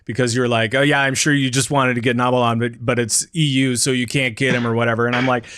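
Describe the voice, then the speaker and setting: A man hosting a podcast, chatting casually in a halting way with many fillers. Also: mid-range voice, flat delivery, fairly bright tone, clear, resonant tone, no echo, studio quality. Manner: halting, lots of fillers and laughter. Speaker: podcast host.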